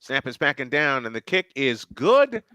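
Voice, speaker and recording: A man's voice: medium pitch, big pitch swings, moderate pace, sharp tone, smooth, no echo. A man calling a live football game play by play, with his voice rising sharply on big plays. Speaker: play-by-play commentator. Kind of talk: live game commentary on a fan stream.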